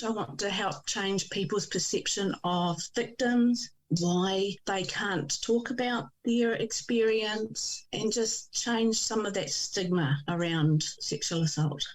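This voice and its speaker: Measured New Zealand woman in her fifties. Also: mid-range voice, low-quality recording. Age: 50s. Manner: measured, with frequent pauses and ums. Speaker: podcast guest. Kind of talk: personal testimony interview.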